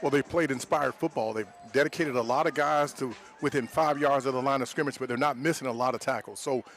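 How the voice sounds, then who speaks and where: A man talks quickly at a medium pitch as a football analyst on live radio. His commentary is conversational and explanatory, with colloquial coach-like phrasing. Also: fairly bright tone, soft background music.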